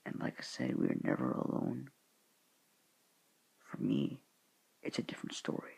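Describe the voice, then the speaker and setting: A teenage boy talking to his viewers in a soft, hushed, halting whisper, earnest and sorrowful in tone. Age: teens. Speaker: student vlogger. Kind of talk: whispered somber address to viewers.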